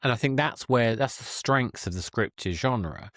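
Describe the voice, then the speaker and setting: A man's voice: mid-range voice, expressive, unhurried, fairly bright tone, no echo, studio quality. A man hosting a conversational podcast interview, thoughtful and wordy as he searches for his phrasing. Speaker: podcast host interviewing a guest.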